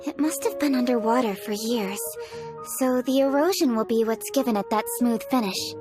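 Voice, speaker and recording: Actress voicing capable young woman official, crisp, businesslike, fairly high, video game dub. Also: expressive, moderate pace, music in the background, lightly acted. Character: brisk, capable, serious young female official.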